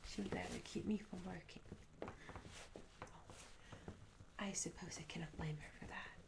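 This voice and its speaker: A woman playing a curious, polite medieval barmaid in a soft, breathy whispered ASMR roleplay, hesitant and courteous with old-fashioned formal phrasing. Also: fairly low pitch, fairly even intonation, moderate pace. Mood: attentive, curious. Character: curious, polite young medieval tavern barmaid, eager for tales.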